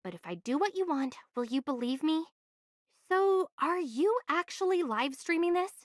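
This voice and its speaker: Earnest, high-voiced young game heroine. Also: female voice, sing-song, normal speed, dry recording, clean studio sound. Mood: doubtful, challenging. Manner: earnest, articulate, matter-of-fact. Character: young female envoy, earnest, level-headed, assertive. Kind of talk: anime game voice acting.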